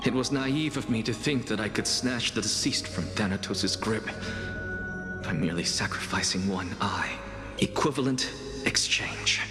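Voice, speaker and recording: A man's voice: lowish voice, normal speed, lightly acted. A man playing a proud, defiant young scholar in a video game, speaking in a formal, theatrical way. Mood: grave, bitter. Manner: theatrical, formal, pointed questioning. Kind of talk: dramatic game voice acting. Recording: echoey long reverb, music underneath.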